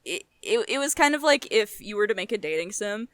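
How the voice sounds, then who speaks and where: A woman's voice: mid-range voice, lively intonation, brisk, a bit bright, no echo. Blunt young woman chatting, dry sound. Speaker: podcast co-host. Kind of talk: casual chat.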